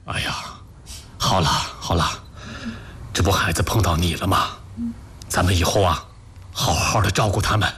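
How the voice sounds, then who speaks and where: An actor playing a supportive, affectionate husband in a sentimental audio drama, reassuring and gently teasing with expressive interjections. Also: male voice, low-pitched, brisk, a little hiss or hum. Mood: consoling.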